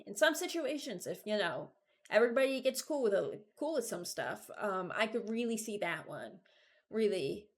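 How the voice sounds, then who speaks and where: A woman giving casual reaction commentary as a video host, rambling and thinking aloud as she ranks game voice lines. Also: mid-range voice.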